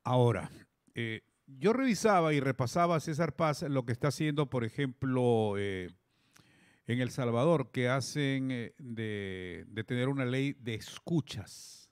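A man's voice: mid-range voice, expressive, measured pace, dry recording. A middle-aged man hosting a radio studio interview, asking long questions with hesitant fillers. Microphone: studio microphone on a boom.

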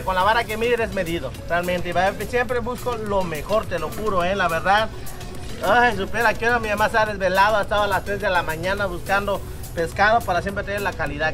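A man in his thirties with a Guerrero coast Mexican accent, casually demonstrating cooking as a restaurant cook, often tagging phrases with little questions. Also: high-pitched. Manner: repetitive, punctuated with "eh?" tags and rhetorical questions. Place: open-air beach restaurant and its kitchen. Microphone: camera a few steps away. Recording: roomy, distant camera mic, music underneath.

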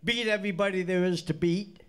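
A man's voice: high voice, a little fast, clean tone. An older man with a cold and a stuffed-up nose, hosting a live country music show in casual, rambling, folksy chat at a stand mic on a small stage. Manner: rambling, chatty, folksy with restarts. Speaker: bandleader and show host. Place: small indoor stage studio.

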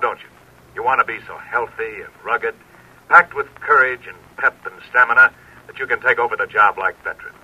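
An actor playing an earnest, avuncular aviator captain in 1940s radio style, exhorting young listeners. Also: male voice, lowish voice, slightly rough. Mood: earnest, urging. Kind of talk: 1940s radio acting and sponsor pitch. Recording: muffled, distant old radio recording.

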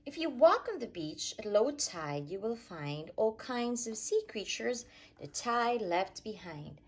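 A woman reading a rhyming children's picture book aloud in an expressive, sing-song storytelling way. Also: fairly high pitch, a little slow, husky. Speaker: storytime reader.

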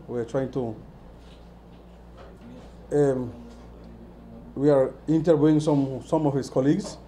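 A middle-aged Belizean police officer speaks in very dark, mellow tones, using stiff official phrasing. He talks into a handheld mic in an office, with faint noise behind him. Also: mid-range voice. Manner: formal police jargon, deliberate. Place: office, indoors. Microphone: handheld mic at close range.

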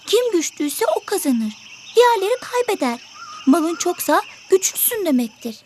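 A tiny selfish songbird for a children's cartoon, extremely high and lively in pitch. The bird is boastful, dismissive and always ready to argue. There is faint noise behind the voice. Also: female voice, moderate pace. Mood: boastful, defiant. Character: selfish, vain, argumentative little songbird. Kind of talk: children's educational cartoon acting.

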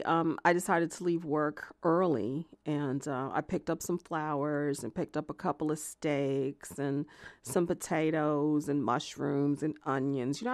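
A woman's voice: sits low, darkish tone, no echo. A woman playing a jilted, obsessive lover in an audio theatre monologue, confessing in a hesitant, conversational ramble with self-justifying, indignant edges. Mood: casual, confiding. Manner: hesitant, filler-strewn rambling that flares into swearing indignation.

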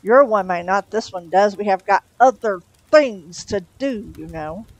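A woman's voice: medium pitch, expressive, measured pace, dry recording. A woman voicing an impatient, sarcastic male demon with curt, dismissive lines.

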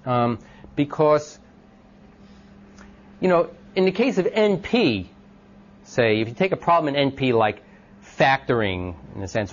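Loud-voiced male lecturer for an informal class talk, mid pitch, muffled old recording. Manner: thinks aloud with many ums and restarts, asks the class questions.

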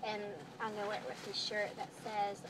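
A woman's voice: mid-range voice, little pitch movement, a little fast, noisy background. A young teenage girl chatting casually from the back seat of a car. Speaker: vlogger's sister, co-presenter. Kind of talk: casual chat.

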